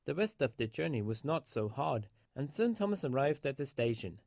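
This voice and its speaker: A man's voice: medium pitch, very animated, normal speed, gravelly, a little mellow, no room sound, studio quality. A man reading a children's story aloud as scripted narration.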